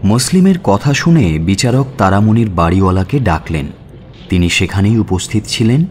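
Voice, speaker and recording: A man narrating a scripted suspense story for radio in a measured, dramatic storytelling manner. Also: lowish voice, expressive, a little mellow, a little hiss or hum. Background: music.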